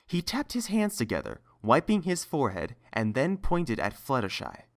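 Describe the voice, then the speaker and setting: Animated male story narrator. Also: mid-range voice, moderate pace.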